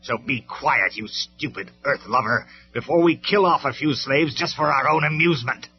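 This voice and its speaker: A man voicing an arrogant male robot tyrant who mocks in a loud, raised voice, on a dull lo-fi recording with faint music. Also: mid-range voice, normal speed, pitched above the performer's own voice, lightly acted. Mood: sneering.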